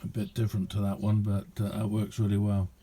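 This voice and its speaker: A man's voice: lowish voice, completely flat delivery, a little fast, mellow. A middle-aged man, chatting casually in a rambling, understated, self-deprecating way as he shows a hobby project. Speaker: amateur radio hobbyist showing his kit. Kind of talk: casual hands-on video chat. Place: small indoor radio room.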